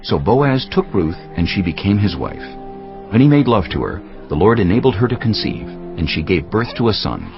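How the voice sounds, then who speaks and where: Male scripture narrator, very low and creaky, expressive delivery, faint music and slightly dull sound. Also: moderate pace.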